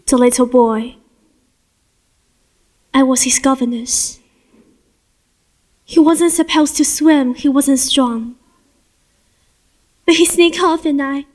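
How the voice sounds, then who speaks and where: Young woman acting an earnest high-voiced governess. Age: teenager. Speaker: student stage actor. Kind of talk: amateur stage play acting.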